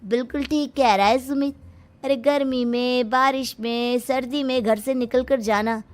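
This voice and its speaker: A woman's voice: high voice, steady tone, a little slow, soft background music. A woman voicing a lazy, whiny young man, a complaining son-in-law in a cartoon. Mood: carefree, complaining.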